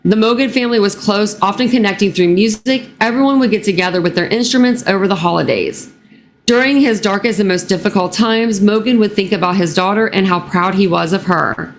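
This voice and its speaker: A woman true crime vlogger with a raspy, hoarse voice, reading a news article aloud and then talking casually to her viewers with many fillers. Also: mid-range voice, brisk, a little hiss or hum. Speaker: true crime channel host.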